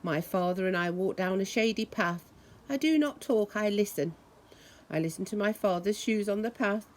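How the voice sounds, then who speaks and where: A woman reading a children's story aloud in a deliberate, expressive way, imitating the sounds it describes. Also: mid-range voice. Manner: deliberate, acts out noises expressively.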